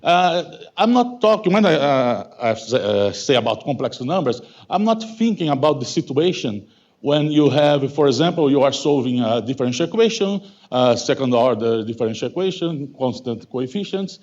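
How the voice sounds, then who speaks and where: A man in his fifties from Brazil with a Brazilian Portuguese accent, Portuguese as his first language, giving a halting academic talk full of self-corrections and "okay?". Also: mid-range voice, big pitch swings, darkish tone, dull audio. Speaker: guest lecturer. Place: lecture hall.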